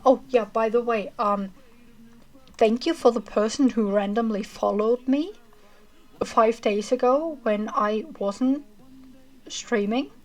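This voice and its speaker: Woman streamer signing off, slow easy chat, kinda monotone, highish voice. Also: poor audio. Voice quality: monotonous reading voice. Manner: chatty, rambling with fillers. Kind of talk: casual chat ending a livestream.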